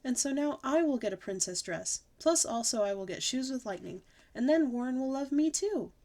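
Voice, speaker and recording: A woman reading aloud puts on a high, bossy little girl who can barely sit still. Her voice pushes upward and gets loud as she wheedles and demands, breathless with excitement, like a storybook kid who always wants something right now. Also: moderate pace. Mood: excited. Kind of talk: audiobook character voice.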